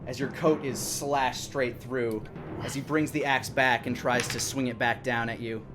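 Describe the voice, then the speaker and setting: A man in his thirties narrating a tabletop game as game master, descriptive, with hesitant pauses. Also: medium pitch, fairly even intonation. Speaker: game master and stream host. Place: indoor room at home, live stream. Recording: music playing behind the voice. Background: background music.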